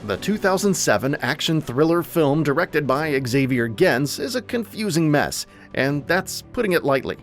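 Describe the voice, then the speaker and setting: Animated male narrator over faint music. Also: on the high side, normal speed, hardly any pauses. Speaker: host narrator of a movie list show. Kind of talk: scripted video essay narration.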